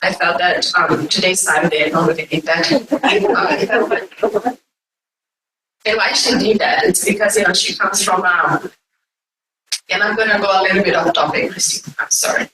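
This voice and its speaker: A woman with a Brazilian accent giving an informal, unscripted talk to an audience in a halting, digressive way. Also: mid-range voice, expressive, a crowd in the background. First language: Portuguese. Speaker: guest speaker. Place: echoey indoor conference room. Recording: echoey distant room with faint noise.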